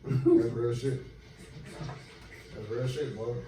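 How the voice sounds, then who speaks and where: A man's voice: mid-range voice, fairly even intonation, slow, warm tone, a little hiss or hum. A man in his late twenties, co-hosting a podcast in casual chat, laid-back and repeating phrases for emphasis. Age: 20s. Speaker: podcast co-host. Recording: echoey room, far from the mic, music behind.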